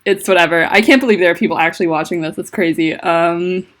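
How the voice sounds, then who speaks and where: A woman with a deep voice and a lisp chats casually on a livestream from home, rambling and self-conscious, with lots of fillers. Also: fast talker. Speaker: livestreaming fanfiction writer. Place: at home indoors. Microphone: laptop mic.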